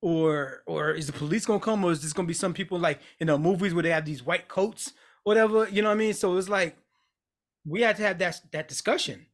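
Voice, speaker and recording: A man co-hosting a casual chat, talking bluntly with lots of swearing and animated retelling. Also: sits high, a little fast. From United States. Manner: blunt, profane, animated storytelling. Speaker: podcast co-host.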